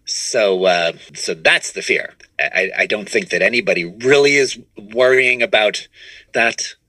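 A man talking casually as a comedian guest on a podcast, animated and often restarting his phrases. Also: fairly low pitch, a little slow, dry recording, low-quality recording. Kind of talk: casual conversational interview answers.

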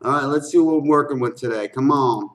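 A man's voice: mid-range voice, brisk. A man hosting a reaction video with casual, slangy commentary, often repeating phrases for emphasis.

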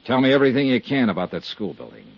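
An American actor playing a tough, dogged insurance investigator, clipped and wry, in a 1950s radio drama. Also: male voice, mid-range voice, very animated, racing, breathy edge. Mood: probing, firm. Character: tough, dogged male insurance investigator narrating his case. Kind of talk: 1950s hardboiled radio drama narration and dialogue. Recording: muffled 1950s radio recording with music.

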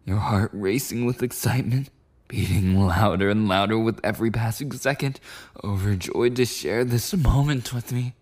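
A man's voice: lowish voice, moderate pace, darkish tone, natural voice. A man playing a clingy, possessive yandere boyfriend in an intimate ASMR roleplay monologue, rambling and cooing tenderly with lapses into whispers. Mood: infatuated, eager.

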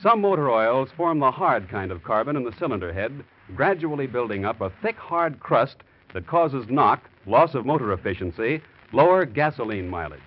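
Man, old-time radio announcer, polished pitchman delivery, animated, muffled recording. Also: normal speed. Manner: polished, emphatic salesmanship.

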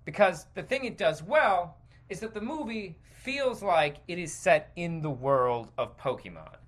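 A man's voice: high-pitched, lively intonation, measured pace, a bit bright. A man hosting a podcast, giving rambling, emphatic, opinionated commentary in an off-the-cuff way. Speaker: podcast host. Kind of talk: opinionated stream-of-consciousness commentary.

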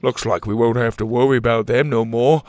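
A man voicing an excitable, naive platypus in a children's story, exclaiming in simple folksy speech. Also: mid-range voice, fairly quick, no room sound, close to an everyday voice. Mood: earnest.

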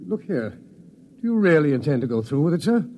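An actor playing a proud old militia colonel in a radio drama, pompous and spluttering with old-fashioned oaths. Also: male voice, fairly high pitch, big pitch swings, moderate pace, dark tone. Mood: solemn admiration. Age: older. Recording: dull, hissy vintage radio recording.

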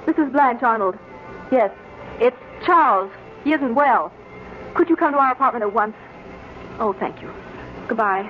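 An actress playing an earnest, concerned and gently firm wife or sweetheart in a theatrical 1940s radio drama style. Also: female voice, a little high, expressive, normal speed, pauses often, faint music behind, natural voice. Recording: noisy, muffled old-time radio recording.

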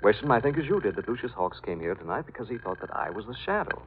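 Old radio detective, crisp and intense, lively pitch, noisy old recording. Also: male voice, fast talker. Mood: brooding, urgent. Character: determined, hot-tempered male amateur detective hero. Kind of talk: 1940s radio drama acting. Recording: narrow, hissy 1940s radio recording.

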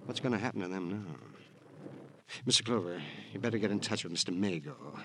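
An actor playing an edgy, possessive, self-justifying husband in an old radio crime drama, flustered and evasive. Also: male voice, deep voice, a little fast, natural voice. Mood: possessive, bitter. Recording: noisy old radio broadcast recording.

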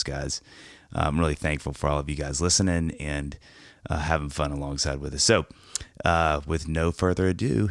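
A man's voice: a bass voice, a bit bright, no room sound, clean studio sound. A man hosting a podcast in a casual, rambling, jokey way, sounding a bit scratchy from a cold. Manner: rambling, jokey, self-mocking asides. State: has a cold, slightly scratchy. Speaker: podcast host. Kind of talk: casual monologue intro.

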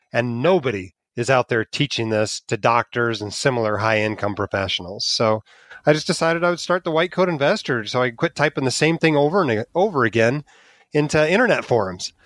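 A man's voice: mid-range voice, fairly bright tone, no echo. Candid self-deprecating man, dry sound. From Alaska. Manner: candid, self-deprecating, chuckles while talking. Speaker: podcast guest, physician blogger. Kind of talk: casual interview storytelling.

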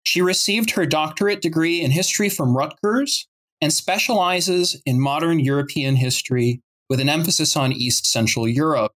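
A man's voice: a little high, moderate pace, a bit bright. A man hosting an interview podcast, moving between prepared introductions and conversational questions. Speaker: podcast host.

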